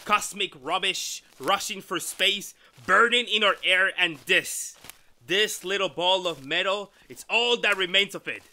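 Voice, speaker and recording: An actor playing an arrogant, excitable male scientist, condescending and theatrical, in an old-style radio drama. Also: high voice, a little slow, exaggerated voice. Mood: eager, dismissive. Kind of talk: retro 1930s radio drama acting.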